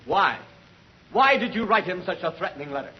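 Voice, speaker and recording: A man's voice: high-pitched, lively intonation, brisk. A man playing an insistent, indignant district attorney in an old radio drama, speaking in a declamatory, combative way. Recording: muffled, noisy vintage radio recording.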